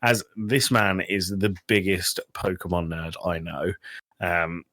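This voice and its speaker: A man's voice: low voice, level tone, takes it slowly, sharp tone, no echo. A man hosting a podcast in casual chat, with teasing, sweary banter. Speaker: podcast host.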